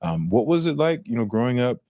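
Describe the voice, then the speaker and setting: A man's voice: medium pitch, dry recording, studio quality. A young man co-hosting a podcast, asking upbeat and casual questions. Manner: upbeat, quick, animated questions. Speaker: podcast co-host. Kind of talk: casual interview chat.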